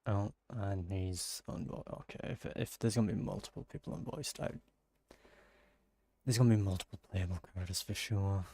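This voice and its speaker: A man giving casual, off-the-cuff commentary as he plays a video game, with a husky, gravelly voice. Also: fairly low pitch, normal speed, mellow. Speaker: gaming streamer. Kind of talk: casual play-along commentary.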